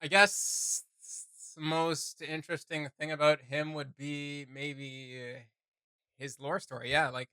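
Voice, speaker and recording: A man's voice: on the high side, level tone, slow, bright, forceful tone, clean tone, no echo. A man hosting a reaction video with casual, off-the-cuff commentary, blunt and sarcastic as he rambles.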